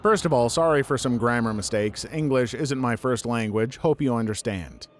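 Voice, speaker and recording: A man reading online stories aloud as a narrator, at a steady, even pace. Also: mid-range voice, moderate pace. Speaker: story narrator.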